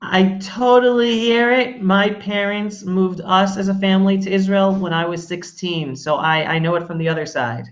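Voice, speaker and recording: A woman hosting an interview, talking in a lively, friendly way and joking about losing her place. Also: medium pitch, moderate pace, few pauses. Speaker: interviewer host. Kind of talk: casual interview. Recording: dull lo-fi sound.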